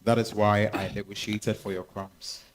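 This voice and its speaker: A man with a Ghanaian accent playing a smitten, pleading lover with halting, earnest phrasing in a stage reading. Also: on the low side, little pitch movement, close to an everyday voice. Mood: confessional. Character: smitten, pleading male lover and deal-maker. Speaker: stage actor. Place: live staged reading at a festival.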